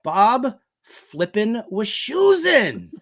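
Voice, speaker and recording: American dude sports show host, pretty high voice, casual chatty fan talk. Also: male voice, wildly swooping pitch, drawn-out words, a bit bright.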